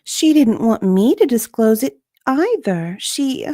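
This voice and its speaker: A woman's voice: a little high, sing-song, takes it slowly, mellow, no echo, clean studio sound, heavily acted. A woman playing an unsure, hesitant witness in an amateur dramatic reading of a deposition, drawing out her answers. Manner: hesitant, drawn out, uncertain.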